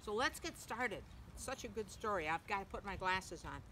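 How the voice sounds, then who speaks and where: A woman reading a picture book aloud to young children, gently, in simple phrases, pausing to ask the listener questions. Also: medium pitch, lively intonation. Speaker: children's story reader, teacher. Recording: clearly noisy background.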